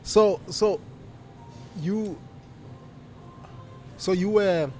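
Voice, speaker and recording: A man hosting a podcast interview, encouraging his guests with steady agreement and light joking. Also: high voice, extremely slow, soft background music. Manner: warm, affirming, keeps saying yeah and mm, joking. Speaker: podcast host. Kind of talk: casual interview.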